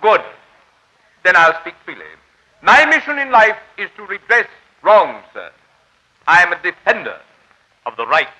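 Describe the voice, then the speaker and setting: An actor in a vintage radio drama playing a pompous, eccentric self-styled crusader for justice, with formal, florid diction. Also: male voice, high-pitched, sing-song, normal speed, pauses often, sharp tone, exaggerated voice, low-quality recording. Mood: lofty, self-important. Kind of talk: 1940s radio drama acting.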